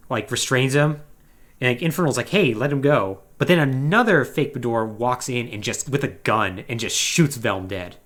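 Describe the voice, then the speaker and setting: A man's voice: mid-range voice, a bit bright. A man hosting a podcast, recapping a video game storyline in a casual, conversational way with wry asides. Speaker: podcast host.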